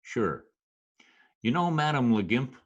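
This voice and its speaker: An actor playing a wry, deadpan Broadway go-between who also narrates, in old-time radio comedy style. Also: male voice, mid-range voice, takes it slowly, highs cut off. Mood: wry, persuasive.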